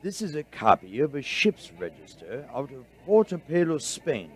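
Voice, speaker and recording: A stammering, higher-voiced bookish doctor. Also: male voice, big pitch swings, a little mellow. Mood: excited, amazed. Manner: halting, stammering, professorial. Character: bookish, excitable male doctor friend, an eager researcher. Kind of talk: audio drama dialogue.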